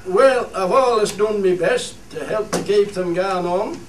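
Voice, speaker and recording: A man's voice: high-pitched, unhurried. A man playing a hearty old shepherd who chairs a village meeting in a radio play, with a Cumbrian accent and a homely, rallying manner. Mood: businesslike, genial. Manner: homely, rallying, repeats phrases. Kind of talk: dialect radio play acting. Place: theatre studio. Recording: roomy, distant mic, faint noise.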